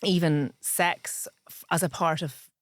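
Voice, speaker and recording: A woman's voice: lowish voice, steady tone, unhurried, no echo, studio quality. A middle-aged woman with a Northern Irish accent, speaking frankly and in detail as an interview guest. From Ireland. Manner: frank and straight-talking, explains in detail. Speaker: podcast guest, pharmacist. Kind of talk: conversational interview.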